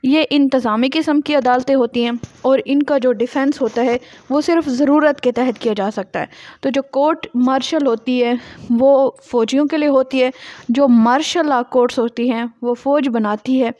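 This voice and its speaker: A woman's voice: high voice, a little mellow, no echo, highs cut off. A woman with a Pakistani accent giving a lecture, reading out passages and explaining them in a didactic way, often asking and answering her own questions. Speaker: law lecturer.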